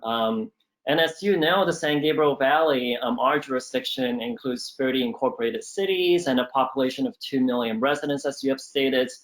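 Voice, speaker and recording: Young male analyst, mid-pitched, steady and hesitant. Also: poor audio. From Southern California. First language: Cantonese. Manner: measured, with frequent ums and uhs. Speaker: management analyst at a regional government council. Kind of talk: conversational interview answers.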